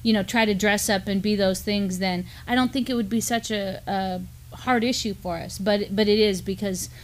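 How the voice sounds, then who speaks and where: A woman's voice: medium pitch, steady tone, normal speed. A woman in her fifties speaking conversationally as co-host of a news discussion. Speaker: co-host of a Native news live stream. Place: indoor studio at a desk with a backdrop.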